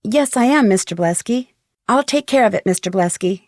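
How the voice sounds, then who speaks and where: A woman's voice: mid-range voice, animated, moderate pace, no room sound, studio quality, close to an everyday voice. An actress playing a polite, determined young woman detective in a video game, speaking in an earnest way. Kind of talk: acted video game dialogue lines.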